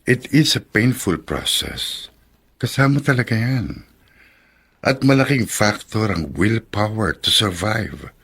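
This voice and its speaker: Male doctor, husky, fairly low voice, calm, firm, encouraging, radio drama. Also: slow pace, heavily acted. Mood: firm, reassuring. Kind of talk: radio drama acting, mixing English and Tagalog.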